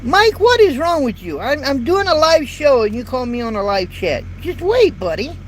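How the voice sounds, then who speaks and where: A man's voice: very high-pitched, big pitch swings, normal speed, low-quality recording. A man in his fifties, a truck driver, chatting casually to viewers from his parked truck cab in a folksy, rambling way. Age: 50s. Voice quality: light voice. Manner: rambling, folksy, repeats words. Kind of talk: casual live chat to viewers. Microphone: built-in phone or camera mic. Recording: faint road and traffic noise.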